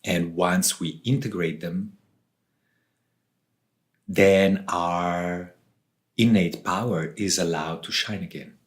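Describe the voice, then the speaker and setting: A man from southern Switzerland with a low voice answers as an interview guest. He speaks very slowly and deliberately, setting out reflective thoughts in short phrases with pauses between them. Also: steady tone. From Switzerland, southern part. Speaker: author and ayahuasca facilitator, interview guest.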